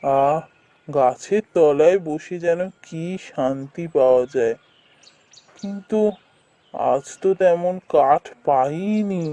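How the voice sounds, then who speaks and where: An actor playing a poor, kindly woodcutter father in a cartoon, speaking in a gentle, plaintive, musing way. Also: medium pitch, takes it slowly. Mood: weary, worried. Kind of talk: cartoon voice acting.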